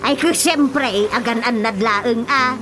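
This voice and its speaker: A woman playing a gossipy, excitable villager in a radio comedy drama, exclamatory, with a Filipino accent. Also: a little high, slow. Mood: scornful. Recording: music bed under the voice.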